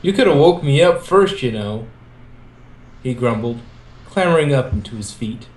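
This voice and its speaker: A man's voice: mid-range voice, expressive, moderate pace. Man reading a story in an echoey room. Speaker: fan fiction reader. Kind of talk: scripted story narration read aloud, with character dialogue. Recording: echoey room, amateur recording.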